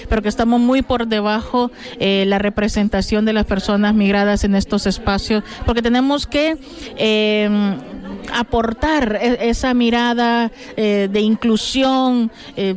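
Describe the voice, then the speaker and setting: A woman's voice: on the high side, a little mellow. A middle-aged woman from El Salvador, a city councillor, answering interview questions in an earnest way with frequent fillers and restarts.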